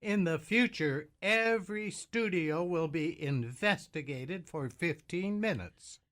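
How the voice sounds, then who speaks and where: Radio comedy: languid, detached pop artist played by a man, high-pitched, drawn-out delivery. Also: lively intonation, fairly bright tone. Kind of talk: comic radio play acting.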